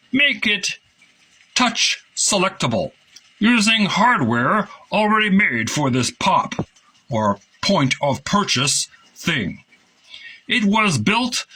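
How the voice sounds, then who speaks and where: A man voicing an enthusiastic male designer who recalls a project, read aloud in an animated, emphatic way. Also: a little high, husky, voice pushed up, full character voice. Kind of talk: quoted recollection read aloud.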